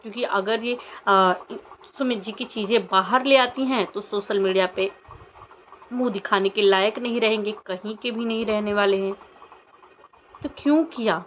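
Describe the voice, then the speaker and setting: A woman's voice: mid-range voice, moderate pace, muffled recording, a little hiss or hum. A woman vlogger giving opinionated commentary to her viewers in an insistent way, with pointed rhetorical questions. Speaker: vlogger commenting on social media controversy.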